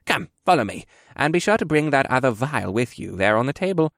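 A man's voice: medium pitch, very animated, brisk, fairly bright tone, no echo, studio quality, pitched above the performer's own voice. A man voicing a frail but spry old male quartermaster, amused, patient and instructive, in an audiobook. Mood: brisk, coaxing. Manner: chuckling, instructive. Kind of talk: audiobook character dialogue.

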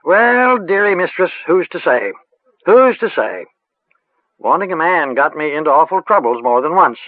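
Old country witch, voiced by a man: rustic, chanting, high and lilting; dry, tinny line. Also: sing-song, moderate pace, no echo. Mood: musing. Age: older. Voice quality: rustic dialect, sing-song and chanting. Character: old female village witch, rustic and earthy.

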